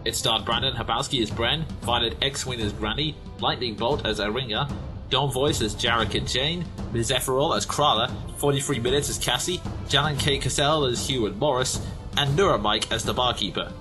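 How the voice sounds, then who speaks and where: A man's voice: mid-range voice, moderate pace. Man announcing credits over music. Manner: plain, steady reading. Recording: background music under the voice.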